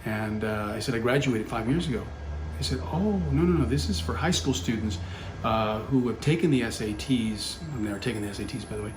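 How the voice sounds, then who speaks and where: A man's voice: mid-range voice, brisk. A middle-aged man telling a personal story in casual talk, full of fillers and self-corrections. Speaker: podcast guest, company founder. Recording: roomy echo with faint background hum.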